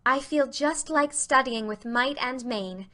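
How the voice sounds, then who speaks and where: A woman's voice: high voice, unhurried, pushed and bright. A woman voicing an earnest, talkative, imaginative teenage girl with effusive, dramatic delivery in a reading of a classic novel. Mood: exuberant.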